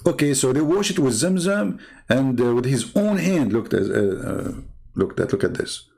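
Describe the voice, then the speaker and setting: A man with an Arabic accent hosts a live stream, reading passages aloud and picking them apart. His voice is gravelly and sits at a middle pitch, and his delivery is sarcastic and needling throughout. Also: lively intonation, normal speed, a little mellow. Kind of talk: mocking commentary while reading religious texts.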